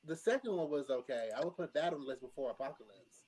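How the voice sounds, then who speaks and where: A man casually giving his opinions in a panel discussion, often halting as he searches for words. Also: fairly high pitch, expressive, very noisy.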